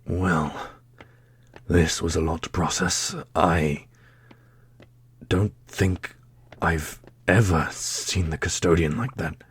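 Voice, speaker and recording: Man playing a soft, low-voiced dark prince. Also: steady tone, slow pace, slightly rough, full character voice. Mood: tender, pensive. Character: soft-spoken, devoted dark prince, a fantasy lover. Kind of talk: ASMR roleplay.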